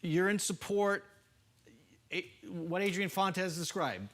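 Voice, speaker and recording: A man's voice: sits high, slow, a bit bright. An American man hosting a broadcast political panel, putting pointed, probing questions to guests. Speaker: TV political show host.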